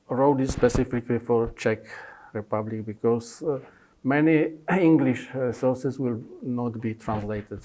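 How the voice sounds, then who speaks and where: Polish guy talking slow about his book, lots of uhs, dark mellow voice. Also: medium pitch. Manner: halting, many fillers and restarts; non-native phrasing. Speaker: consultant pediatrician.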